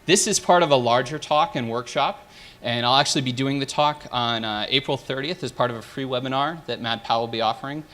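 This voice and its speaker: A man giving a conference talk and taking questions from the audience, direct and energetic. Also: medium pitch, fairly quick. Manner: direct, energetic, asks the room. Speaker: conference speaker.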